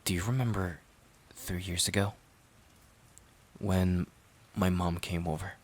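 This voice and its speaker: A man playing a resentful, overworked boyfriend in an emotional audio roleplay, halting and sighing as he repeats himself. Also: sits low, fairly even intonation. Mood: bitter. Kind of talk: emotional audio roleplay, intimate dramatic monologue.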